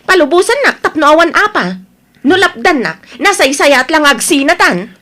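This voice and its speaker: High-voiced defiant young Filipina wife, acted by a woman. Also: sing-song, normal speed, bright, forceful tone, exaggerated voice. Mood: impatient, insistent. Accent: Filipino, Ilocano. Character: headstrong restless young wife wanting city life, defiant. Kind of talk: Ilocano audio drama acting.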